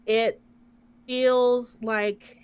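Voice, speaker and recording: Soft-voiced woman, chatty and qualifying. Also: fairly high pitch, flat delivery, very slow, smooth. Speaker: podcast co-host. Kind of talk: casual chat.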